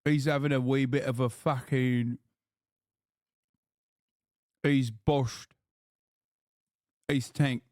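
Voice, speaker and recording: A man's voice: mid-range voice, little pitch movement, drawn-out words, long pauses, a little mellow, no echo, clean studio sound. A man streaming casual live commentary, talking in a slangy, profane and mocking way. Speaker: streamer reacting to a news clip.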